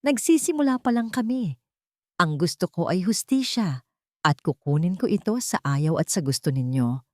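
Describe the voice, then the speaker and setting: A woman's voice: lowish voice, expressive, moderate pace, a little mellow, no echo, clean studio sound, lightly acted. A woman narrator voicing a hurt, defiant young woman in an audiobook drama, speaking firmly and emphatically. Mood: resolute, defiant. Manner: firm, emphatic.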